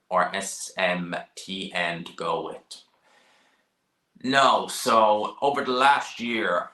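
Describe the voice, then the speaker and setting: A man's voice: low voice, sharp tone, roomy sound, low-quality recording. A young man from Dublin with an Irish accent, casually answering viewer questions about boxing on a live stream, rambling and repeating himself. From Ireland. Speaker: boxing channel host.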